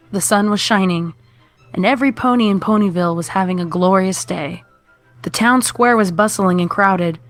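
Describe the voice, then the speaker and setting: A woman in her twenties narrating a scripted story as a reader of fan fiction. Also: medium pitch. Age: 20s. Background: background music.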